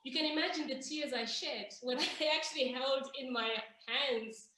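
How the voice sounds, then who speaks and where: A woman from Zimbabwe, an author being interviewed, telling stories in an animated way with frequent restarts. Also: high voice, normal speed, slight background noise. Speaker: author guest. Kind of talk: conversational interview answers. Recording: echoey room.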